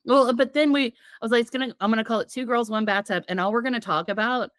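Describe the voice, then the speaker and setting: A woman co-hosting a casual comedy podcast, with a slightly raspy voice from a sinus infection, rambling and giggling as she tells stories. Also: fairly high pitch, quick pace, fairly bright tone, no echo, clean studio sound. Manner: giggly, rambling, playful storytelling. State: getting over a sinus infection. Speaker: podcast co-host.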